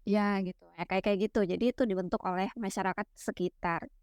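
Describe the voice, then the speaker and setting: A young woman from West Sumatra explaining ideas in a relaxed, teacherly chat, full of fillers and tag questions. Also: mid-range voice, fairly quick, clean tone, no echo, studio quality. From West Sumatra, Minangkabau. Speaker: guest explaining literary theory.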